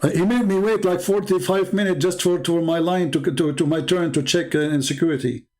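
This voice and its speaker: Higher-pitched, sarcastic Arabic-accented man. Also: fairly even intonation, a little mellow. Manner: sarcastic, halting with repetitions, acting out dialogue. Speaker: live show host. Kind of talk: casual, joking storytelling monologue.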